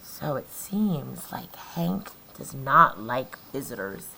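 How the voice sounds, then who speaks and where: Slow, creaky-voiced woman teacher reading aloud. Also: a bass voice, big pitch swings, sharp tone, a little hiss or hum, low-quality recording. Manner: deliberate, questioning, explains to young pupils. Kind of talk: interactive storybook read-aloud.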